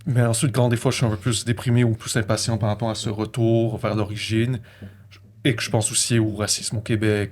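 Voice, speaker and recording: A man with a Québécois accent reflecting personally in conversation, feeling his way through sentences and often correcting himself. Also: lowish voice. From Quebec, Canada.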